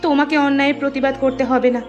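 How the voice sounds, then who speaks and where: Woman as a high-voiced, worried village housewife. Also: flat delivery, very fast, lo-fi sound, faint music behind, close to an everyday voice. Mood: worried, curious. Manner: questioning, concerned. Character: timid, kindly village housewife. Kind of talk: dramatized Bengali moral-story cartoon dub.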